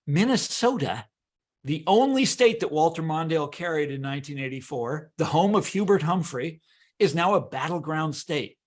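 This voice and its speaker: A somewhat high-pitched American man speaking as a guest author in a conversational interview. He is animated and emphatic, stammering through repeated words as he builds his explanation. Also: moderate pace. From Kansas, United States.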